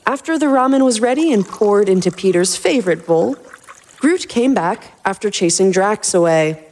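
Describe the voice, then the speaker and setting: A woman's voice: medium pitch, lively intonation, moderate pace, a little mellow. A woman reading scripted story narration expressively as a podcast host.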